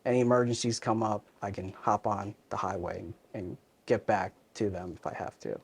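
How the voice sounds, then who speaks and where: A man's voice: on the low side, steady tone, moderate pace, vocal fry, husky. A man in his thirties, born in Hong Kong and raised in New Jersey, talking casually as an interview guest with frequent fillers and restarts. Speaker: interview guest, commercial real estate advisor.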